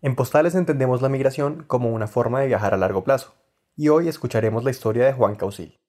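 A man hosting a travel podcast, reading scripted narration in a clear, steady way. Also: medium pitch, fast talker. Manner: clear, steady, read-aloud delivery.

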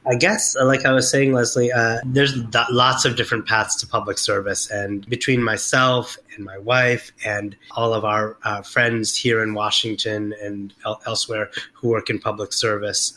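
Middle-aged man, interview guest, lowish bright voice, halting and full of fillers. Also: poor audio. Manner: hesitant, many fillers and restarts. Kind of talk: reflective interview talk.

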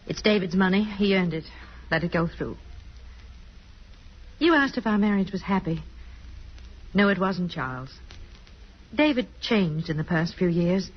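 An actress playing a grieving, self-doubting wife in a mid-century radio drama, with a hesitant, wistful delivery. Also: female voice, on the low side, animated, measured pace, long pauses. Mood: subdued, regretful. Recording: dull, slightly husky old radio recording.

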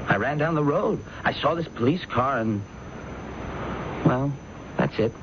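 An actor playing a timid, flustered office worker in a radio drama, hesitant, deferential and stammering. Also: male voice, mid-range voice, animated, unhurried, natural voice. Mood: nervous, hesitant. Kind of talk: old-time radio drama acting. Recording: dull, noisy old radio broadcast.

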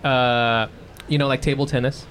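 A man's voice: medium pitch, steady tone, normal speed, fairly bright tone, a little hiss or hum. A man with an American accent hosting a podcast in casual comic banter, joking and swearing lightly. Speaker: podcast host.

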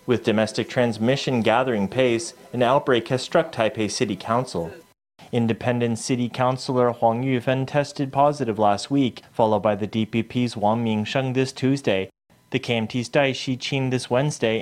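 Measured male news reporter. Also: medium pitch.

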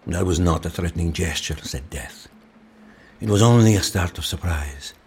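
Low, creaky, fatalistic man. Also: normal speed, a little mellow, slight background noise. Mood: measured storytelling. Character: resigned, courteous man calmly fatalistic about his death. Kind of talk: audio drama acting.

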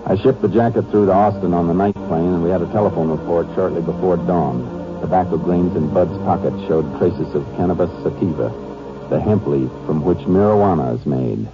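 A man's voice: low voice, brisk, highs cut off, over music, natural voice. A man playing a shrewd, terse Texas Ranger investigator in an old-time radio western crime drama, on a noisy old recording. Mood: terse narrating.

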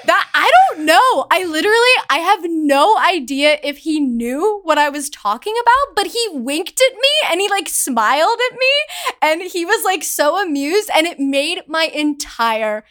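A young woman who is a podcast guest, telling a story in an excited, gushing way during casual chat. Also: very high-pitched, a little slow.

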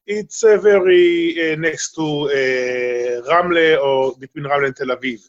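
A middle-aged Israeli man with a Hebrew-speaking background, speaking halting English with many fillers as he answers interview questions. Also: fairly high pitch, lo-fi sound. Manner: halting, searching for English words, frequent fillers. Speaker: guest. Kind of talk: remote interview conversation.

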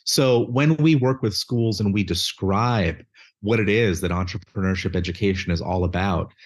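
A man's voice: fairly low pitch, normal speed. A man answering interview questions, articulate and methodical, listing points with a few fillers. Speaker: guest, education nonprofit chief executive.

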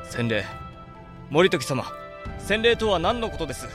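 A man's voice: a little high, very animated, unhurried, over music. A man acting an earnest young samurai heir in a period voice drama, urgent, formal and emphatic. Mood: alarmed.

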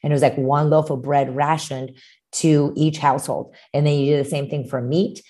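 A woman in her fifties from Romania, a pediatrician, tells stories in a chatty, animated way. Her voice is low and mellow, with a warm, soft tone. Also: normal speed. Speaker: pediatrician guest. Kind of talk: interview storytelling.

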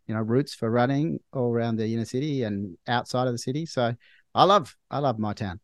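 Australian man, 40s, hospital doctor as guest, medium pitch, measured and thoughtful, dry recording. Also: no room sound. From Melbourne, Australia. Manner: measured, thoughtful, with many restarts. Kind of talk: casual interview chat.

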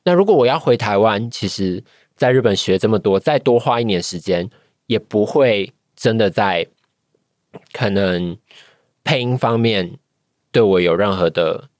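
Low-pitched Taiwanese man in interview. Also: animated, measured pace, no echo, studio quality. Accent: Taiwanese Mandarin. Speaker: guest, voice-acting trainee. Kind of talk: reflective podcast interview chat.

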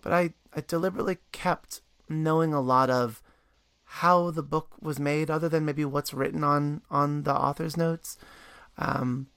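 Guy hosting a podcast, earnest, kinda halting, repeats words, dry sound. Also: a little high, fairly even intonation, gravelly, fairly bright tone, smooth, no room sound. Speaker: podcast host. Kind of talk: podcast interview.

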